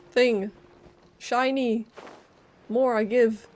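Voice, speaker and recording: A woman's voice: a little high, fairly even intonation, extremely slow, darkish tone, low-quality recording. A woman livestreaming a game, casually commenting, joking to herself and reading dialogue aloud in a playful way. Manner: playful, joking, muttering to self.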